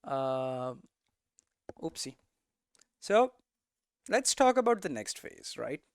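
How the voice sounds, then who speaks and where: A man's voice: medium pitch, sing-song, slow, smooth, dry recording, clean studio sound. A man giving an informal lesson, thinking aloud conversationally and often checking in with tags like "right?". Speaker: game development streamer and teacher.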